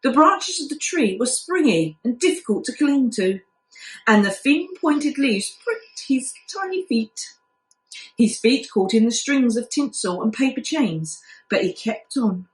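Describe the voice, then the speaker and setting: Woman, children's storyteller, expressive, very varied intonation, roomy echo. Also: a little high, a little slow. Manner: expressive storytelling, acting out characters. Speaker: primary school teacher reading aloud. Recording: echoey room.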